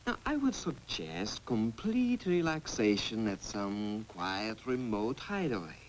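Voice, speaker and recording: A man playing a solemn, officious doctor in an old cartoon, speaking in a deliberate, pompous way. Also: on the low side, big pitch swings, poor audio. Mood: grave. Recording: old cartoon soundtrack, faint noise.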